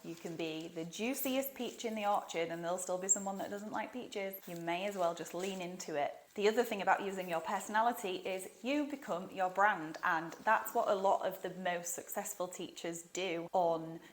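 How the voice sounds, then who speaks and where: A woman's voice: lowish voice, moderate pace, few pauses. A woman with a Yorkshire accent giving casual, upbeat advice on teaching online, chatty and full of asides. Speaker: online English teaching coach.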